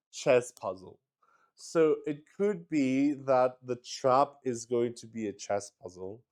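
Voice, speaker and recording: A man's voice: mid-range voice, a little mellow, clean tone. A man hosting a solo tabletop roleplay stream, improvising the narration as he thinks aloud and repeats phrases. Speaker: game master and solo player, show host.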